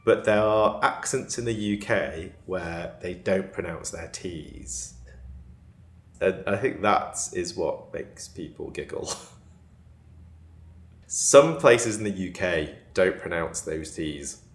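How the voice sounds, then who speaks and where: A man with a northern Cheshire accent chatting casually to camera in a vlog, laughing often and sounding out his T's crisply. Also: sits low, expressive, slow, roomy sound. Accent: northern Cheshire English. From England. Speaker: vlogger.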